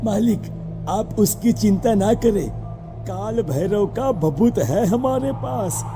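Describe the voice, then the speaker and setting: A man voicing a deferential but murderous villager, earnest and urging, in an animated horror story. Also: high-pitched, slow, over music. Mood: eager, reassuring. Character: deferential, murderous male villager serving the headman.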